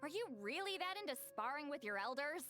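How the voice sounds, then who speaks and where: A woman voicing an eager, excitable young warrior girl in a game, with a bouncy manner. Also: fast, full character voice. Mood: exasperated. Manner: bouncy, wide-swinging pitch. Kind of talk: game voice acting. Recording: game audio under faint music.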